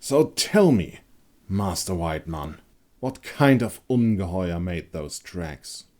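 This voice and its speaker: A man's voice: low voice, expressive, slow pace, a little mellow. A man acting out a cocky, hot-tempered young hunter. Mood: angry, scornful. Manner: defensive, snarling, barking. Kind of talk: dark fantasy audio story acting.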